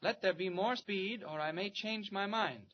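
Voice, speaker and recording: A man acting a brave, quick-thinking reporter with a secret heroic identity in a 1940s radio serial, speaking briskly and earnestly. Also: high-pitched, close to an everyday voice. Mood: thoughtful, resolute. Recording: muffled, noisy 1940s radio recording.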